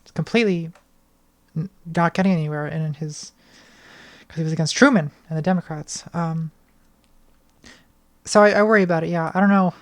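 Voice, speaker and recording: A young woman answering patron questions on a casual livestream from her bedroom, thoughtful and hesitant, with a slightly hoarse voice, speaking into a studio mic. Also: lowish voice, fairly quick, pauses often. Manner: thoughtful, hesitant, many restarts and ums. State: voice getting hoarse. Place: small bedroom lit purple. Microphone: studio mic on arm, front of frame.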